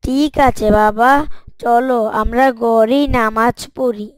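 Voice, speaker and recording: Devout child voiced by a woman, gentle, reassuring, fairly high, dry recording, moral cartoon. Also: fairly even intonation, slow, no room sound. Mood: consoling. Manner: reassuring, obedient. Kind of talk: Islamic moral story cartoon acting.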